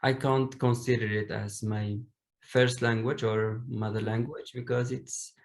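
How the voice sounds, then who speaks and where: A young man whose first language is Domari, speaking carefully and with many pauses as a panelist on a video call. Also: mid-range voice, little pitch movement, gravelly. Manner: slow, careful, pausing often. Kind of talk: online panel discussion.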